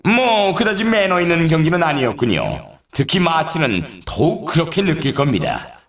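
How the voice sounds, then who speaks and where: A man voicing an excitable snowboard race announcer in a video game, with polished, showy, emphatic commentary. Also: fairly high pitch, moderate pace. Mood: teasing, warning.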